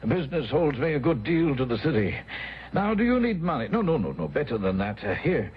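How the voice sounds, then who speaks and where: An actor in a radio drama playing a formal, evasive, middle-aged well-to-do gentleman with a husky voice, speaking haltingly. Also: mid-range voice, sing-song. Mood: flustered, businesslike. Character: hesitant, evasive, well-to-do married gentleman employer. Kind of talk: old-time radio drama acting. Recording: dull, muffled old radio recording.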